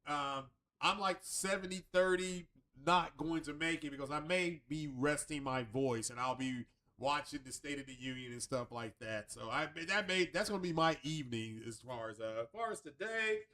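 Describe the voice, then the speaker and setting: A man hosting a casual tech live stream, raspy from a cold and rambling through chatty asides. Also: a little high, normal speed, hardly any pauses, bright, forceful tone. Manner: rambling, chatty, loose restarts. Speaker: tech channel host.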